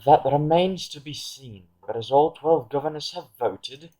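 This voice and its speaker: A man's voice: a little high, measured pace, mellow, rough recording. A man playing a haughty aristocratic villain in a fan audio drama, with a silky, formal, condescending manner. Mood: smug menace. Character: haughty aristocratic male villain, coldly smug.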